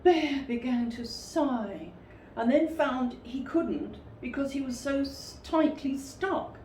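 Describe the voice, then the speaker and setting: Animated woman storyteller, slow and roomy. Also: high-pitched. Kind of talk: expressive children's story reading. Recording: roomy, distant mic with faint noise.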